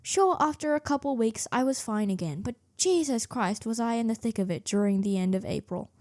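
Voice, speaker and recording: A woman's voice: a little high, animated, a little fast, darkish tone, dry recording, clean studio sound. A woman narrating a video about her animation work in a casual, jokey, self-deprecating way. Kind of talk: casual scripted video commentary.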